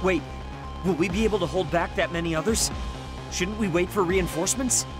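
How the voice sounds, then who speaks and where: An actor playing a proud, insecure young soldier in an anime video game, curt and defensive. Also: male voice, sits high, a little fast, close to an everyday voice. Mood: urgent, resolute. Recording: loud music under voice. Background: game soundtrack music.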